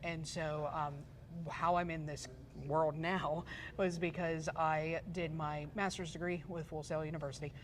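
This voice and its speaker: A woman's voice: low-pitched, flat delivery, unhurried, a little hiss or hum. A woman in her forties from Pittsburgh, a guest in an interview, telling her story in a chatty way with many fillers and restarts. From Pittsburgh, USA. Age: 40s. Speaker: guest, esports photographer and journalist. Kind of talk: casual interview chat.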